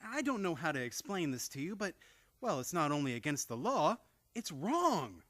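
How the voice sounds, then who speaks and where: An actor playing a flustered, exasperated nephew and theatre critic in a live radio comedy, stammering and theatrical. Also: male voice, fairly high pitch, sing-song, moderate pace, a bit bright. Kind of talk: live old-time radio comedy acting.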